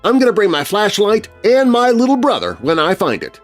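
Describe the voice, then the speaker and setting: Man as eager, high-pitched young boy. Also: wildly swooping pitch, brisk, faint music behind. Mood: defiant. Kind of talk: children's scary storybook voice.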